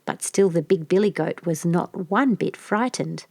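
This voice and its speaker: A woman reading a scripted children's fairy tale as an expressive storyteller. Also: on the low side, a little slow, vocal fry, no echo, clean studio sound.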